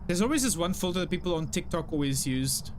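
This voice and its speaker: A fairly high-pitched young man from South Africa speaks with an Afrikaans English accent, chatting easily with his stream viewers. He repeats short phrases and leans on "like" as a filler. A faint hiss sits behind his voice. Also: animated, fast talker. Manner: chatty, apologetic, frequent self-repeats and "like" fillers. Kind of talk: casual live-stream chat reading chat messages.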